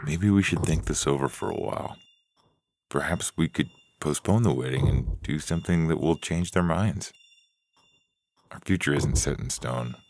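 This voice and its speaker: A man's voice: rumbling low, normal speed, darkish tone. A man playing a hesitant young fiancé who wavers under pressure, in a dramatized story reading. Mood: hesitant, pleading.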